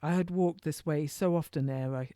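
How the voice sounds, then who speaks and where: A woman's voice: sits low, normal speed, warm tone, no echo, clean studio sound. A woman author reading her own historical novel as first-person narration, in an informal read-aloud way with occasional stumbles.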